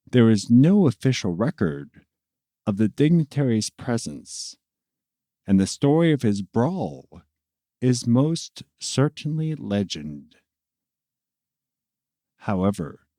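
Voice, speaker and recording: Male story narrator: relaxed, dark-toned delivery, slow and deliberate, with theatrical phrasing for scripted tales. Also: medium pitch, dry recording, clean studio sound.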